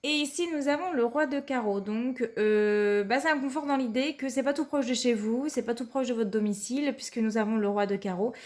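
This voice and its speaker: Woman with a cold doing a card reading, kinda high voice, lots of ums and restarts. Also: a little fast, few pauses, darkish tone. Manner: hesitant, many fillers, repeats "tout simplement". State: has a cold. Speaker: card reader. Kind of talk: weekly tarot card reading.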